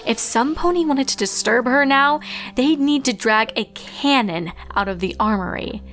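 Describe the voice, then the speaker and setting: A woman narrates fiction in an expressive, scripted storytelling style. Her voice sits fairly high, and music plays behind her. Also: normal speed.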